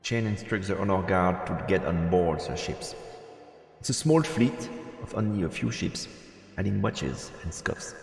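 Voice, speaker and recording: A man's voice: deep voice, animated, measured pace, vocal fry. A man reads visual novel narration aloud dramatically as a streamer. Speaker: streamer narrating a let's play. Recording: roomy, echoing voice with long reverb. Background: game music.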